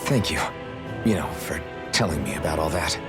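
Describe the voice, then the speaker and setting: A man's voice: a bass voice, normal speed. A male actor playing a hot-headed, earnest young rebel swordsman in a video game, with dramatic, emphatic delivery. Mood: subdued. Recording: game dialogue under loud music.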